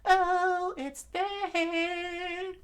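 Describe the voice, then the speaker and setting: Shrill, excited kid apprentice cook. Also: male voice, extremely slow, heavily acted. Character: young boy cook's apprentice, eager dreamer.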